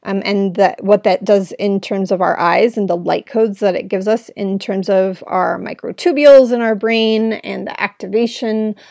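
A woman hosting her own podcast, talking in an earnest, rambling way with a gravelly, smoke-irritated throat. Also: mid-range voice, steady tone, moderate pace, hardly any pauses, darkish tone, dry recording, studio quality. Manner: earnest, rambling, with frequent "right?". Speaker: podcast host. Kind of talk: reflective solo podcast talk. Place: at home indoors.

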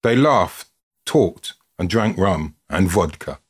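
Male narrator, low and husky, expressive storyteller reading from a script with drama. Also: takes it slowly. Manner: expressive, dramatic delivery with built-up lists. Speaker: reader, narrator of a written football story.

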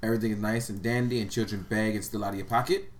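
Brooklyn guy w new york accent, talks fast, brash n sweary podcast chat, bit of noise. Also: on the low side, fairly even intonation. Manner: brash, profane, emphatic. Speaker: podcast co-host. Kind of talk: casual chat with friends. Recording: faint background noise.

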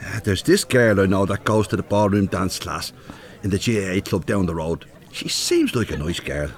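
A man's voice: deep voice, animated, normal speed. An actor playing a plain-spoken, easily irritated older man with a Dublin accent in a radio drama. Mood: earnest, grumbling. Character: rough-edged, easily irritated older widowed working man.

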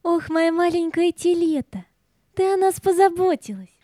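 A sweet, curious little girl in an audio fairy tale. The voice is extremely high and soft-edged, in a dry recording. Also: normal speed, no room sound. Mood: grateful, affectionate. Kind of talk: audio play acting.

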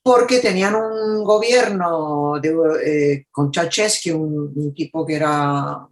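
A woman's voice: low-pitched, slow. Reflective woman recounting in an interview. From United States, Argentine family. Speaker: journalist guest being interviewed. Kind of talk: conversational interview storytelling.

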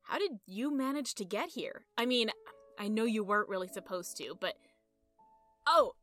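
A woman playing a proud, quick-tempered but kind young biologist in an audio drama. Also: medium pitch, animated, fairly bright tone, faint music behind, close to an everyday voice. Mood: warm, friendly.

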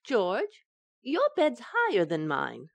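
An actress playing a feisty, playful housewife in a 1940s-style radio sitcom, with wry, teasing delivery. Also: female voice, medium pitch, wildly swooping pitch, slow pace, gravelly, no echo, heavily acted. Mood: soft, coaxing.

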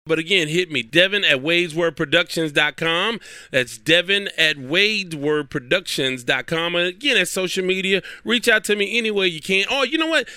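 Guy hosting his podcast with a cold, nasal, kinda high smooth voice, rambles and repeats himself. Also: sharp tone, dry recording, clean studio sound. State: has a cold, stuffy. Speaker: podcast host. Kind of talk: casual solo podcast talk.

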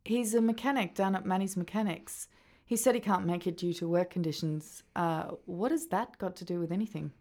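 A woman's voice: fairly low pitch, a little fast, warm tone, lightly acted. A woman with an Australian accent playing a worried, defensive mother in a radio drama. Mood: confused, defensive. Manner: indignant, emotional.

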